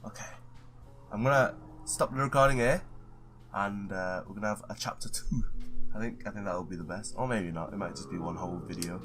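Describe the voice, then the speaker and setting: Male let's play host, lower register, informal and jokey, recorded over prominent game music. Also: a little fast. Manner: informal, joking asides. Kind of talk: gaming commentary and reading visual novel text aloud.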